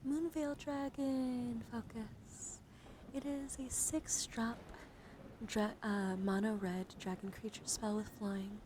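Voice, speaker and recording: A woman livestream host speaking softly and gently in an ASMR style, often slipping into whispers, close to the microphone. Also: a little high, steady tone, slow. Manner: frequently drops into whispers. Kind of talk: soft-spoken ASMR card reading and casual stream chat. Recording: clear background ambient noise.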